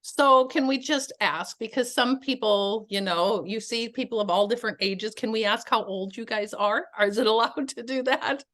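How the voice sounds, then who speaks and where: A woman's voice: a little high, normal speed, hardly any pauses, fairly bright tone. A woman hosting an interview on a video call, upbeat, chatty and encouraging toward her guests. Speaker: host.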